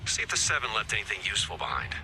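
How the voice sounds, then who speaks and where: A man's voice: on the low side, very animated, racing, sharp tone, soft background music, exaggerated voice, rough recording. A man voicing a wry male field agent who leads the mission in a casual, wisecracking manner, in video game voice acting. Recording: game audio with background noise.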